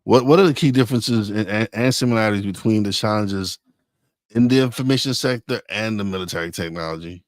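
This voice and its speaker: A man's voice: lowish voice, a little fast, dry recording, clean studio sound. A man hosting a podcast, asking questions in a hesitant way and repeating words. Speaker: podcast host. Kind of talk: interviewing a guest.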